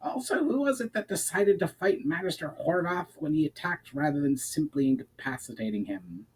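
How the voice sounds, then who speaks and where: A man's voice: fairly high pitch, lively intonation, normal speed, a little mellow, slightly rough, voice pushed up, rough recording. A man voicing a short, irritable male adventurer from a fantasy story, snarling accusations. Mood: angry, breathless.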